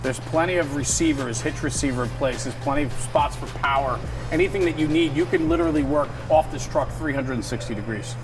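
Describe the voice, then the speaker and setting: American man, higher-pitched voice, fast talker, enthusiastic interviewer, clear music behind him. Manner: enthusiastic, affirming. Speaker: host, interviewer. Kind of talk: on-location interview for a video series.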